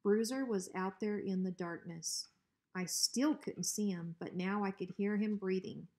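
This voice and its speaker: Woman reading a storybook, medium pitch. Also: a little slow, darkish tone. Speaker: school principal reading aloud. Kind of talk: expressive children's storybook narration.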